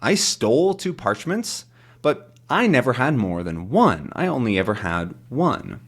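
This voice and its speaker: A man acts out a young storybook adventurer, captive and bewildered. His pitch jumps up and swings widely, with a startled, questioning delivery. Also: sing-song, a little slow, husky, full character voice. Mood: indignant. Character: bewildered young male adventurer held prisoner.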